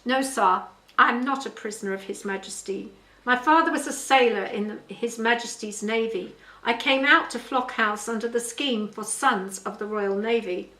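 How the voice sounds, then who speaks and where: A teenage farmhand from a storybook, read by a woman. He speaks civilly and evenly, staying guarded and calm. The voice sits in an echoey room. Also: a little high, normal speed. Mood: firm, civil. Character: polite, guarded teenage boy, a new farmhand.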